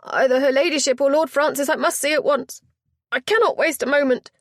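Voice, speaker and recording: Shrill, panicked chaperone. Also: female voice, expressive, moderate pace, creaky, no room sound, clean studio sound. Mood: frantic. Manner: high, quivering, near-shouting alarm. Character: frantic middle-aged female chaperone, shrill.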